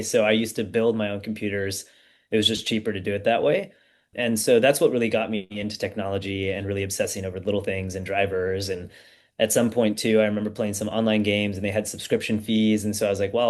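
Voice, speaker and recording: A man in his thirties, from the United States, talks through his own story as a podcast guest. His pace is easygoing and full of ums and small asides, and his voice sits a little low. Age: 30s. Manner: relaxed storytelling with frequent fillers. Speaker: startup founder guest. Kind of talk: casual podcast interview.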